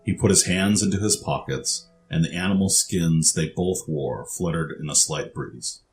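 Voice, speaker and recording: Male narrator, low register, scripted fiction storytelling over faint music. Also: moderate pace.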